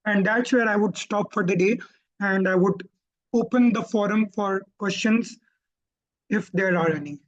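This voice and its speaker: Pakistani-accented tutor on a dull call line. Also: male voice, high voice, measured pace. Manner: explanatory, repeats points and self-corrects. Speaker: IELTS tutor. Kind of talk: webinar teaching and answering questions. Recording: dull lo-fi online call audio.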